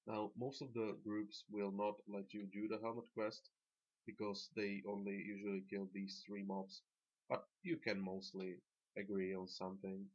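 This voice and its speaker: A man with a Slovak accent giving halting, plain-spoken, unscripted commentary as he guides viewers through a video game. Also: on the low side, a little mellow. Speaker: gamer presenting a dungeon tanking guide. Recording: dull lo-fi voice track.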